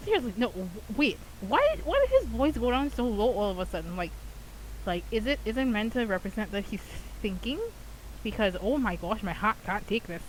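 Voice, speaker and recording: A woman giving casual, excitable reaction commentary to a game, often laughing. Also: a little high, brisk, slight background noise, poor audio. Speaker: game streamer reacting to a story quest.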